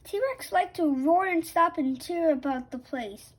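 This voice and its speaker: A woman reading a picture book aloud for children, in a slightly halting way. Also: extremely high pitch, lively intonation, slight background noise, poor audio. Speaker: children's story reader. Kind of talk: scripted storybook narration for children.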